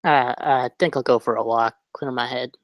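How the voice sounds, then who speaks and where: A man acts a weary ex-convict trying to stay clean in a screenplay table read. He is meek and hesitant, apologizing as he goes, in a medium-pitched voice with a dry, close sound. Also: steady tone, normal speed, no echo, natural voice. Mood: groggy, apologetic. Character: meek, weary male ex-convict, recovering addict.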